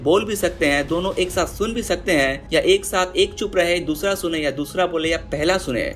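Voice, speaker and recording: A man's voice: on the high side, a bit bright. A man with an Indian accent narrating a scripted explainer, addressing viewers as friends and mixing in English terms. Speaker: explainer video host.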